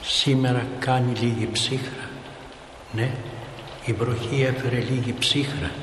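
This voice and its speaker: An actor playing a placid dead townsman in a stage drama, talking slowly and matter-of-factly. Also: medium pitch, steady tone, natural voice. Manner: slow, drawn-out, matter-of-fact. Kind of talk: understated stage drama acting. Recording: noisy, reverberant hall recording of a play.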